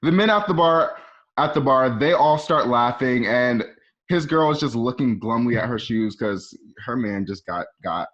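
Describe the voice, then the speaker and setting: A man's voice: medium pitch, lively intonation, moderate pace, a bit bright, muffled recording. A man narrating screenplay scene directions like a storyteller, recorded at home. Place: at home, remote ensemble session.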